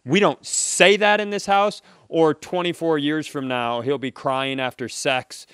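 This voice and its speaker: A man's voice: on the high side, fairly even intonation, unhurried, fairly bright tone, clean tone, no room sound, clean studio sound. A man hosting a comedy podcast, telling stories in casual banter and acting out little quotes as he goes. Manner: jokey storyteller, acts out short quotes.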